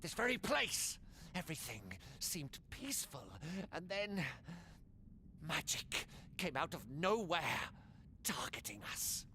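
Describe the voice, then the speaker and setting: A man's voice: a little high, very animated, slow pace, pushed and bright, heavily acted. A man voicing a captured cultist creature in a video game, fearful yet fanatical, with a hissing, whispery delivery. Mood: panicked dread. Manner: fanatical, dramatic.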